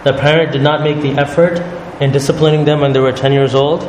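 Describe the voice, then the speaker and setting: A man leading a religious study circle, explaining calmly and often checking in with "right?". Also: on the high side, fast. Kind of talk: religious talk to a group. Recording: echoey hall with noise.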